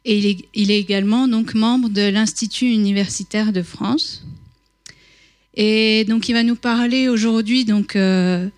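A woman's voice: mid-range voice, fairly even intonation, measured pace, darkish tone. A woman organizer introducing a public lecture, hesitant, with many fillers and restarts. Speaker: conference organizer introducing speaker.

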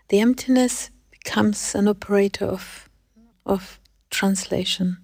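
A woman hosting an interview and speaking about her own art in a slow, thoughtful, measured way. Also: medium pitch, mellow, no echo, studio quality. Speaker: host and artist. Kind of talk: radio interview.

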